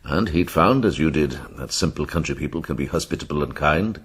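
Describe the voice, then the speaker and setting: An actor playing a gentle, grave older monk in a radio drama. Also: male voice, a bass voice, animated, a little fast, husky, heavily acted. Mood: gentle, reflective.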